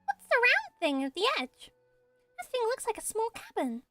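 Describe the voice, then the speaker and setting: A woman voicing an excitable, childlike small companion in a cartoonish, exclamatory game style. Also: extremely high pitch, normal speed, dry recording, pitched above the performer's own voice, heavily acted. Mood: curious. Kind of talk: cartoonish video game voice-over. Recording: music behind the voice.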